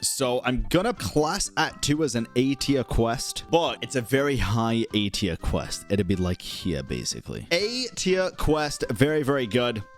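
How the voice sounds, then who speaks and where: A man giving casual, livestream-style gaming commentary as host, blunt and sweary, often breaking into exasperated exclamations. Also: mid-range voice. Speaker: host of a gaming ranking video.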